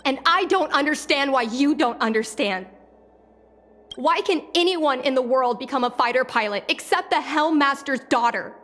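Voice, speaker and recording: A woman's voice: high voice, moderate pace, fairly bright tone. A woman voicing a headstrong, defiant young daughter in a video game, pleading her case with insistent passion. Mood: defiant, frustrated. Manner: insistent, impassioned. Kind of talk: dramatic video game voice acting.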